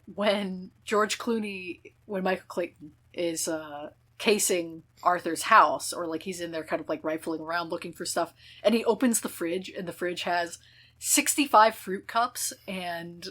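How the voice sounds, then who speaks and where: A woman's voice: fairly low pitch, steady tone, a little slow, fairly bright tone. A woman from Michigan co-hosting a casual movie podcast, talking in loose, rambling sentences full of fillers and the odd swear. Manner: chatty and profane, lots of fillers. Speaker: podcast co-host. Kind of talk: casual film podcast chat.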